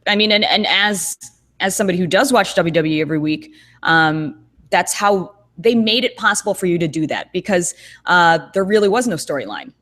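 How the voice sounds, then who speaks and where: A woman's voice: fairly low pitch, lively intonation, brisk, a bit bright. A woman co-hosting a casual podcast chat over a video call, talking in a thoughtful, rambling way with many fillers and restarts. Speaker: co-host.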